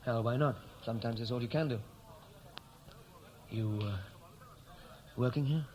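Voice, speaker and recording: A man's voice: medium pitch, unhurried, a little mellow, close to an everyday voice. An actor playing a regretful, restless Welsh-born man home from America, hesitant and dry, in a 1960s radio drama. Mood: probing. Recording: noisy old radio play recording.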